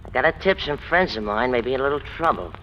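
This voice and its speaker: A man's voice: medium pitch, expressive, quick pace, close to an everyday voice. A man playing a mean, greedy crime boss, needling and bossy, in 1940s radio gangster style. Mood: menacing, bossy. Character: mean, greedy small-town crime boss, taunting and domineering. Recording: dull, faintly noisy old radio recording.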